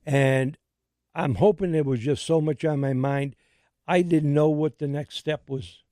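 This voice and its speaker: An older man hosting a radio show in casual chat, his voice slightly raspy, rambling with many restarts. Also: on the high side, fairly even intonation, a little slow, dark tone, no echo, studio quality. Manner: rambling, restarts often, laughs easily.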